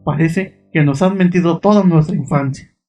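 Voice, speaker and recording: A man delivers scripted narration in a fairly high register. His delivery is suspenseful and dramatic, addressing the listener directly over music. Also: moderate pace, a little mellow. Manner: suspenseful, rhetorical questions to listeners.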